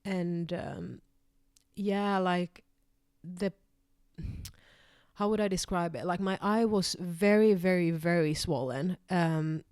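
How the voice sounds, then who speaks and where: A woman's voice: on the low side, slow, pauses often, darkish tone, smooth, no echo, studio quality. A young woman from Finland whose first language is Swedish, telling a personal story in a rambling way, full of fillers and restarts. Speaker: vlogger.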